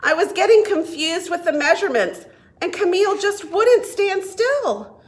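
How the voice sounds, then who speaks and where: A woman reading a picture book aloud to young children as a children's librarian, expressive and deliberate. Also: extremely high pitch. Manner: expressive, deliberate, asks listeners questions. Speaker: children's librarian and storytime reader.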